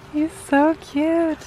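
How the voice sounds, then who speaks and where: A woman's voice: extremely high pitch, slight background noise, poor audio. A young woman vlogging on a farm, talking in a delighted, cooing way to the animals, recorded on a handheld camera outdoors. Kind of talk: casual vlog chatter.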